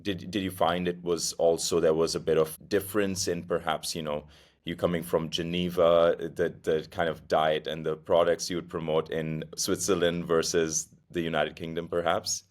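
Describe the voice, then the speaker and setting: A young man hosts an interview podcast in a very low, deep voice. He asks his guest steady, measured questions and keeps a calm conversational pace. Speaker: podcast host. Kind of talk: interview hosting.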